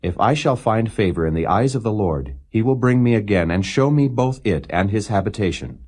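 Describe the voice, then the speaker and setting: A man with a low, husky voice narrates scripture in a solemn, measured way. His pitch moves up and down freely through the phrases, while the reading stays plain and non-dramatized. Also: lively intonation, darkish tone. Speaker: Bible reader.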